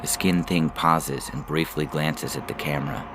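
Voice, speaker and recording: A man giving a solemn, ominous literary reading of a surreal story in a deliberate manner. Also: rumbling low, fairly even intonation, background noise. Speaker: story reader. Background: loud music.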